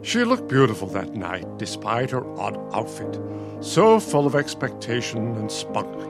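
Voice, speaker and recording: Radio play, patient older husband: nostalgic, reflective, mid-pitched voice with expressive swings. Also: male voice, measured pace, over music. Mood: wistful. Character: patient, nostalgic elderly husband, soothing and wistful.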